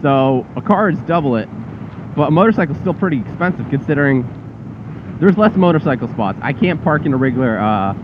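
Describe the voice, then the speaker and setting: A man's voice: a little high, fairly quick, dull audio, noisy background. A young man riding a scooter and chatting casually about his plans, with lots of fillers and a loose, rambling way of talking. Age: young adult. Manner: relaxed, rambling, frequent fillers. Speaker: motovlogger. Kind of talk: casual vlog narration while riding. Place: on a scooter on a suburban road outdoors.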